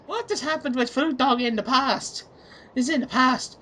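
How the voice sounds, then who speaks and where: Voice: high voice, normal speed, bright, forceful tone. A person reading written comments aloud as part of a group dramatic reading.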